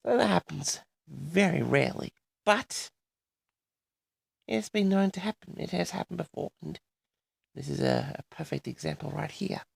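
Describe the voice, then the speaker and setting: A man hosting an audio log, rambling in a halting solo monologue with frequent pauses, addressing his audience directly. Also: a little high, gravelly, slightly rough, no echo. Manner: halting, pausing often, repeatedly addresses the audience.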